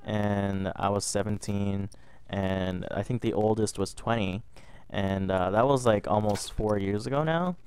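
Rambling young man, low voice. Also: unhurried, a little mellow, clear, resonant tone. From Canada. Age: young adult. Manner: rambling, repeats words and restarts often. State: a little sick. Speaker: gaming vlogger. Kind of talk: casual storytelling over gameplay.

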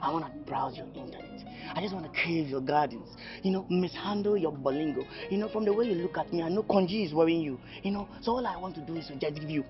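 A man's voice: on the high side, natural voice. A man playing a giggly, pushy flirt. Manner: laughing often, playful teasing. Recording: dull audio with faint ambience.

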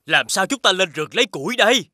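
High, sharp-voiced worried villager. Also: male voice, animated, fast talker, no room sound, clean studio sound, heavily acted. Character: anxious villager at a meeting. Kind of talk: animated fairy tale dubbing.

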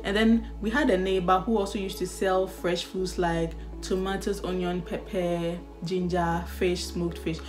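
A woman's voice: on the low side, level tone, unhurried, few pauses, a little mellow, soft background music. A young woman with a Ghanaian accent, chatting casually and animatedly as a vlogger, with laughs and sighs. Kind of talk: casual chat.

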